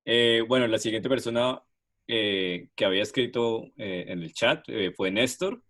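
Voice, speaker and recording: A man's voice: fairly low pitch, measured pace, a bit bright. A man moderating an online Q&A, calling on people politely and briefly, with fillers. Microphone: video call.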